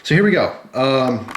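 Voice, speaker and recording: A man's voice: medium pitch, slight background noise. A man with a stuffy, head-cold voice chatting casually and informally to camera as the host of a gear review vlog, rambling along in a conversational way. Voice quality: congested, stuffy-headed. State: sick with a cold and flu. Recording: echoey, roomy sound.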